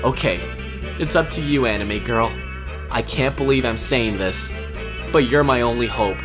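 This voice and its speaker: A woman doing a comedic parody dub, sarcastic and dramatic, swinging from deadpan mutters to frantic shouts. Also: extremely low pitch, normal speed. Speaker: parody voice-over creator. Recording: voice over loud background music.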